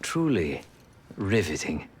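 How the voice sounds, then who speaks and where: An actor playing a fussy, theatrical male tech specialist in a spy video game, with formal, florid, deliberate phrasing. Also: sits low, sing-song, breathy edge, full character voice. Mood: wry, self-assured. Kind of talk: video game character acting.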